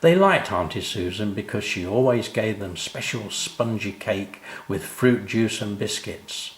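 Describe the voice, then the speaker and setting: An older man narrating his own children's story in an expressive storytelling style. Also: fairly low pitch, unhurried, reverberant.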